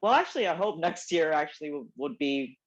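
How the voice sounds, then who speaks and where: A woman's voice: deep voice, sing-song, brisk. A woman in her forties, raised in New Jersey and, chatting casually as a playwright guest from home, full of ums and self-deprecating asides. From South Korea, raised in New Jersey. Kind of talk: casual interview chat. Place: home, dog barking nearby. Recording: dull lo-fi call audio.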